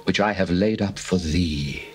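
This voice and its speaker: A man voicing an adoring male lover addressing his bride, in a solemn, measured dramatized scripture reading. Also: low voice. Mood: tender. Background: faint music.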